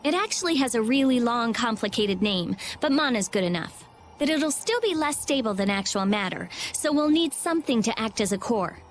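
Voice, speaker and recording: Blunt teen genius mage, high voice, lively intonation, snappy and matter-of-fact, game dialogue. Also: female voice, normal speed, soft background music. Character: brainy, blunt, prickly teenage girl mage. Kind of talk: animated game voice acting.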